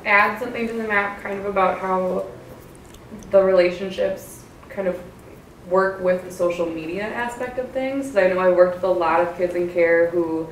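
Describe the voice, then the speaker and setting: A woman's voice: mid-range voice, fairly even intonation, normal speed. A woman giving an informal comment from the audience of a lecture room. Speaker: audience member, youth care worker. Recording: distant echoey lecture room, faint noise.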